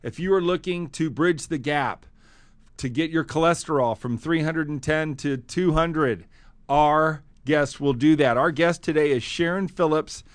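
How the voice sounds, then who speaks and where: A man's voice: a little high, unhurried, no room sound. A man hosting a motivational podcast, with an enthusiastic, punchy delivery. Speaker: podcast host.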